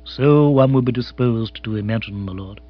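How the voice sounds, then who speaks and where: A man voicing an imperturbable, deferential English manservant in a comic storybook, formal, measured, precise and deadpan. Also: medium pitch, muffled recording, lightly acted. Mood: unruffled, deferential.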